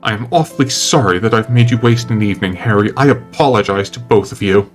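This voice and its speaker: Man acting a bitter, passionate young gentleman. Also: fairly low pitch, quick pace, natural voice. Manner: hard, bitter, emotional. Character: passionate, petulant young upper-class man. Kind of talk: dramatic audiobook character reading. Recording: music under the voice.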